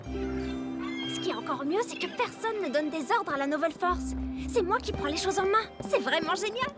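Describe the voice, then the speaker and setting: A woman's voice: very high-pitched, expressive, normal speed. A woman voicing a confident, bossy young heroine in a children's cartoon, assertive and exclamatory. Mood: proud. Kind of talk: animated children's cartoon dubbing. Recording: music behind the voice. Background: music.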